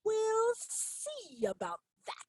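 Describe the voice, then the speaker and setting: A woman playing a frantic, paranoid spy hunter who shouts about conspiracies, in an amateur stage play. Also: very high-pitched, huge pitch swings, slow pace, no echo, heavily acted. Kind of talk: amateur theatre acting.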